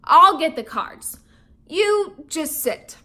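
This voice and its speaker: A woman doing a gruff older man in a storybook. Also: very high-pitched, animated, slow pace, pushed and bright, heavily acted. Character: older retired man, grumpy but softening.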